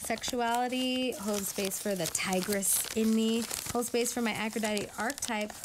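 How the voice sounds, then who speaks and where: A woman's voice: fairly high pitch, steady tone, moderate pace, background noise. A woman whose first language is Spanish, chatting casually on her own show in a rambling, filler-filled way. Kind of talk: casual rambling chat, reading old letters aloud.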